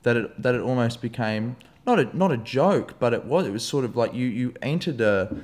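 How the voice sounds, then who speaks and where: A young man with an Australian accent hosting a casual podcast interview, loose and jokey and quick to swear. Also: medium pitch, lively intonation, darkish tone. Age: young adult. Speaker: podcast host.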